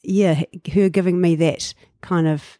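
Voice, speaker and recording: A New Zealand woman in her fifties, telling her life story in a relaxed interview, reflective with frequent fillers and light laughs. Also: lowish voice, a little slow, dry recording, studio quality. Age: 50s. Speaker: guest. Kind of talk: casual interview chat.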